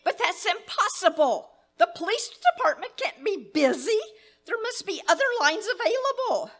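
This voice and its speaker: A woman's voice: very high-pitched, sing-song, normal speed, a bit bright, exaggerated voice. A woman playing a frantic, demanding wife in a melodramatic, agitated 1930s radio thriller style, performed live on stage. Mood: indignant, alarmed.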